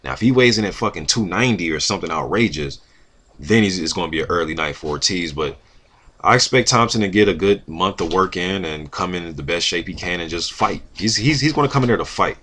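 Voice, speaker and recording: Deep, bright-voiced man talking boxing. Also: a little hiss or hum. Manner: informal, emphatic, slangy with occasional swearing. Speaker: boxing commentator, vlog host. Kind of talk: casual opinion commentary, reading quotes aloud.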